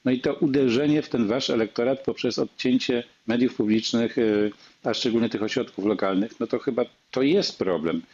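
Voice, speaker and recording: A man hosting a political talk show, moderating the debate in a halting way and repeating words. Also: mid-range voice, a little mellow, husky. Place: indoors on a video call. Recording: dull, muffled video-call audio.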